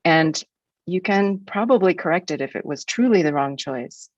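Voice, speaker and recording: A woman's voice: lowish voice, animated, moderate pace, no echo, lo-fi sound. A woman in her sixties from the United States, a guest speaker in an academic webinar, talking in a frank, reflective way with some restarts.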